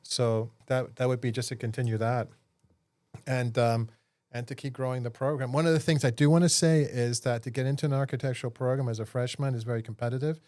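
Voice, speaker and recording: A man's voice: medium pitch, steady tone, fairly quick, a little mellow, no room sound. Earnest male architecture professor. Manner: earnest, explanatory, with fillers. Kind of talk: interview answers.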